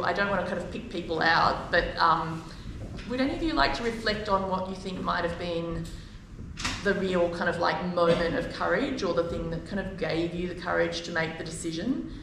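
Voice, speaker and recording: Low, creaky woman moderator in echoey room. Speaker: moderator at a book launch. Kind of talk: thoughtful live panel questioning. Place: live event in an echoey room. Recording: echoey room, distant mic, noisy background.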